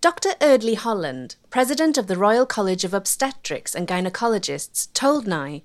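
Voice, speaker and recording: A woman narrating a history documentary in a clear storytelling manner. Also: medium pitch, very animated, moderate pace.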